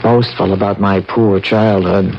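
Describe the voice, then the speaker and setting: An actor in an old-time radio drama playing a pushy, folksy young country-born bridegroom who talks in a colloquial, cajoling way. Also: male voice, on the low side, fairly even intonation, a little slow, gravelly. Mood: relaxed, musing. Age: young adult. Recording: dull, noisy old radio broadcast.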